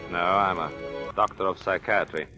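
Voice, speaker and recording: An actor playing a calm, controlling psychiatrist in an old-time radio thriller, educated, polite and commanding. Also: male voice, deep voice, normal speed, soft background music. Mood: cool, calculating.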